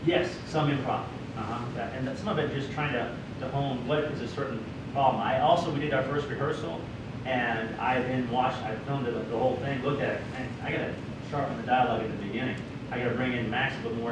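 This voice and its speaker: A man who wrote the script answers a question from the audience, wandering through his thoughts and restarting sentences. He is picked up from far off in a very echoey room. A loud rumble runs underneath. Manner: rambling, laughs and restarts. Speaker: screenwriter and director.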